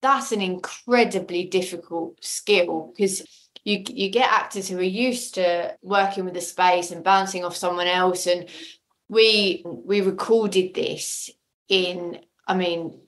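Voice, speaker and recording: Low-pitched woman in casual interview. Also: fairly even intonation, slow pace. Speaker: audio drama maker, podcast guest.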